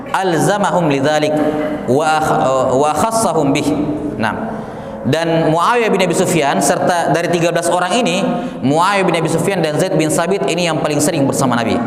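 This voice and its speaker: A man teaching a religious lesson, explaining patiently with fillers and repeats and mixing in Arabic phrases. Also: fairly high pitch. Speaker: Islamic studies teacher. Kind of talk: religious lesson on a classical text. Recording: echoey hall with faint background noise.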